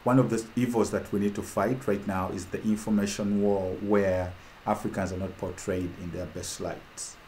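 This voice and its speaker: Low-voiced man from Uganda, impassioned casual host, lots of repetition and ums, faint ambience. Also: little pitch movement, moderate pace, noisy background. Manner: fervent, rhetorical, repeats phrases, trails off with ums. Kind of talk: casual talk to an online audience.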